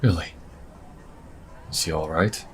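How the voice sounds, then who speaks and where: A man's voice: rumbling low, slow, a bit bright, slight background noise, heavily acted. A man playing an earnest young priest in a fantasy audio drama, speaking with hesitant sincerity. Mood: worried.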